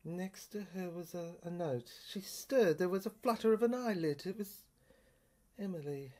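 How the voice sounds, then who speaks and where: A woman performing a dramatic literary monologue in a theatrical, intense and halting way, in broken phrases. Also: on the low side, a little mellow. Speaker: performer reading a serial screenplay.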